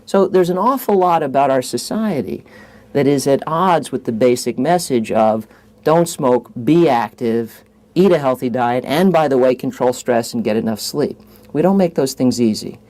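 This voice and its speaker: A man's voice: mid-range voice, expressive, moderate pace, darkish tone. A man giving an articulate public talk in a wry, wordy manner.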